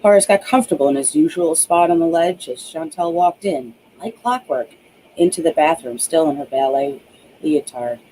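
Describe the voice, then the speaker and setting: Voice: mid-range voice, moderate pace, warm tone, a little hiss or hum, poor audio. An author reading their own novel aloud as scripted audiobook narration.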